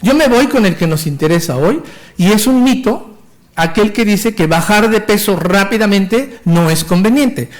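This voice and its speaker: High-voiced Mexican doctor lecturing. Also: male voice, animated, moderate pace. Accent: Mexican Spanish. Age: 50s. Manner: enthusiastic, technical, with restarts and stumbles. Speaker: physician lecturer. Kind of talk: medical conference lecture.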